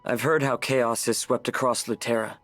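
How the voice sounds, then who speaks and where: Man acting a restrained, even-toned fantasy companion. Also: mid-range voice, normal speed, soft background music, lightly acted. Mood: thoughtful. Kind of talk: fantasy video game voice acting.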